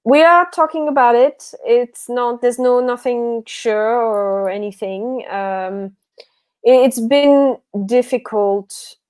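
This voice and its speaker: A woman's voice: fairly high pitch, takes it slowly, darkish tone, clean tone. A woman from Belgium, a guest author on a livestream, chatting casually and a bit tired, often correcting herself and laughing.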